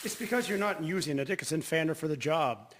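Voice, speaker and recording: A man's voice: on the high side, lively intonation, fast talker, a bit bright, slight background noise. A man playing a confident farmer giving earnest, helpful advice in a period radio drama. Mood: assured. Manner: earnest, assured.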